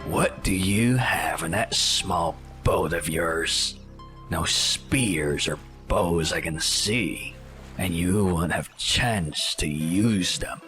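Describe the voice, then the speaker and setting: An actor playing a haughty, menacing ancient sea-raider captain in an audio drama, speaking with slow, deliberate contempt. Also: male voice, low-pitched, over music. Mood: amused contempt.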